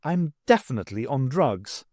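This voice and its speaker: Guy reading as wry tired adventurer lady in an audiobook, exasperated, pitch jumps around. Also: sing-song, moderate pace, a little mellow, dry recording, studio quality, lightly acted. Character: wry, weary, self-aware adventurous woman.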